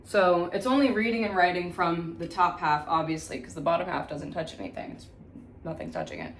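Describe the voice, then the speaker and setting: Low-pitched woman in an echoey room. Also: animated, fairly quick. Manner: chatty, informal, thinking aloud. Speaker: musician giving a tutorial. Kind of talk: casual hands-on demonstration. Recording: echoey room, faint background noise.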